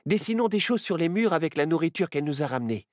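A man voicing a calm, sensible child in a storybook reading. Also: fairly high pitch, quick pace, dry recording, clean studio sound, natural voice. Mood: scheming.

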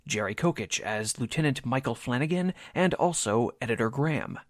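Animated male radio drama announcer. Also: mid-range voice, normal speed, no room sound, clean studio sound. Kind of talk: scripted announcer narration.

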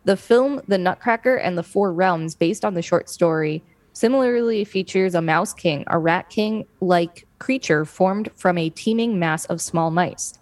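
A woman's voice: on the low side, measured pace, no echo. A woman co-hosting a podcast, reading an encyclopedia article aloud. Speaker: podcast co-host.

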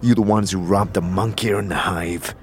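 A man's voice: sits low, fairly even intonation, normal speed, music in the background. A man voicing a blunt, commanding wandering adventurer in a fantasy audio drama. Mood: threatening. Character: male wandering adventurer, blunt, threatening, wry.

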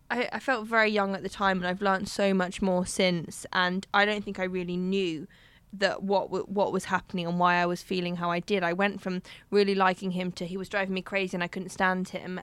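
A young woman chats candidly on a podcast, circling back and restarting her sentences. Her voice is bright and smooth, and the recording is dry and close. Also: mid-range voice, no echo. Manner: candid, rambling with many restarts. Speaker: podcast host. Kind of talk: casual chat.

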